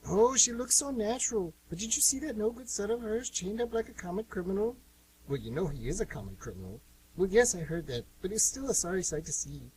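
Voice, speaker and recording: A man voicing gossipy, disapproving women in mocking falsetto for a radio play, recorded away from the microphone. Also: sing-song, a little fast, a little mellow. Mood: gossipy, pitying. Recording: distant mic with light hiss and hum.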